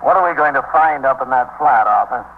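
Man acting hard-nosed cop, medium-pitched voice, terse, world-weary, dry, thin tinny vintage radio drama sound. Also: animated, fairly quick, close to an everyday voice. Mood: stern, pressing. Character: stern, dry male New York police officer. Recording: tinny 1950s radio recording, faint hum.

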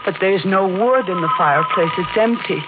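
An actress playing a frightened widow in a 1940s radio play, stammering and pleading with fear. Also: female voice, fairly low pitch, moderate pace, close to an everyday voice. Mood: terrified. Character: haunted, terrified young widow, certain of doom. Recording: tinny old radio broadcast with music.

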